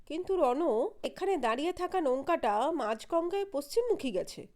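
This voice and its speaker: A woman's voice: high-pitched, big pitch swings, normal speed, darkish tone, voice pushed up. Woman voicing a questioning senior male sleuth. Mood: doubtful.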